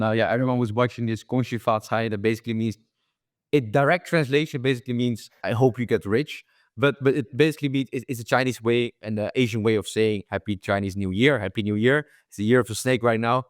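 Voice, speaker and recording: A man's voice: medium pitch, steady tone, quick pace, dry recording, clean studio sound. A young man who grew up in the Netherlands, hosting a casual interview podcast, with loose talk full of repeated words and "bro". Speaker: podcast host.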